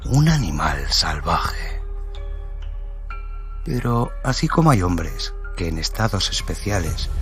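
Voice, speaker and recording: A man narrating a literary story in a dramatic radio style, over a noisy ambient background. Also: deep voice.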